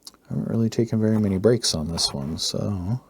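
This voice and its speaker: Male art tutorial host, low-pitched, slightly hoarse, relaxed rambling delivery. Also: a little fast, slight background noise. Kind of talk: casual narrated drawing tutorial.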